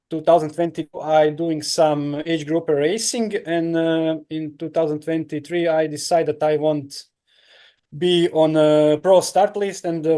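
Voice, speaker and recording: Croatian guy in his 30s, kinda high voice, halting english with lots of uhs. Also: mellow. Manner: halting non-native English with many fillers. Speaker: guest, professional triathlete. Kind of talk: casual interview answers.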